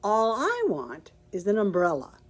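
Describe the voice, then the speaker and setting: A woman reading a picture book aloud and voicing a fussy, hurried lady of the house in a brisk, slightly flustered way. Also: mid-range voice, wildly swooping pitch.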